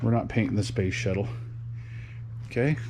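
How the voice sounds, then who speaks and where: A middle-aged man casually talking through a DIY job to camera in a folksy, unhurried, step-by-step way. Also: on the low side, vocal fry, darkish tone, a little hiss or hum. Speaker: DIY radio hobbyist and channel host. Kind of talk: casual workshop explanation to camera.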